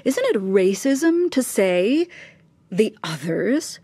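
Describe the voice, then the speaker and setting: A woman's voice: mid-range voice, big pitch swings, slow pace, warm tone, breathy edge, dry recording, full character voice. An actress playing a bitter, imperious, bigoted upper-class mother in a radio drama, clipped, cutting and sarcastic, with insistent questioning. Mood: insistent, agitated. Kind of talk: naturalistic radio drama acting.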